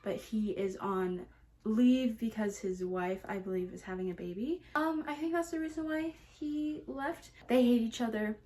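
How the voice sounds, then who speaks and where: A woman's voice: a little high, moderate pace, warm tone. A woman book vlogger talking casually to her viewers in a gushing, emphatic way, often repeating words for emphasis. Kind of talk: casual chatty video talk about books.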